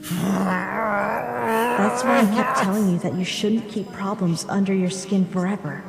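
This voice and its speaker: A woman voicing a caring female confidante, earnest and gently reproachful, in a dramatic comic dub. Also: medium pitch, takes it slowly, gravelly, faint music behind. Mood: earnest, sorrowful. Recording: echoey long reverb.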